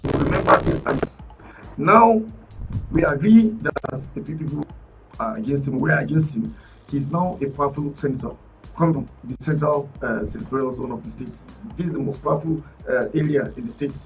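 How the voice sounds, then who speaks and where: A man with a Nigerian accent, arguing politics on a radio talk panel in an animated, emphatic way, often restarting his words. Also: medium pitch, brisk, far from the mic, soft background music, rough recording. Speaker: political commentator guest. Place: radio studio.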